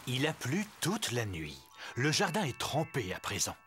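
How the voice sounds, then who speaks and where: A man playing the narrator of a French-dubbed children's cartoon, telling the story clearly like a picture book. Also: mid-range voice, wildly swooping pitch, measured pace. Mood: cheerful storytelling. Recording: loud music behind the voice.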